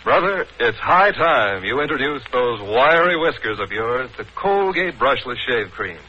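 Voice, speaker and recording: A man's voice: medium pitch, very animated, measured pace, bright, forceful tone, husky. An American man reading a 1940s radio advertisement as a breezy, polished hard-selling announcer. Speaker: commercial announcer. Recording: dull 1940s radio recording.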